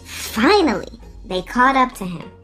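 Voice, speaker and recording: Female read-aloud narrator for kids, slow and expressive, swooping intonation, over background music. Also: fairly high pitch. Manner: expressive, deliberate, instructive. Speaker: storyteller and reading teacher for children. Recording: music playing behind.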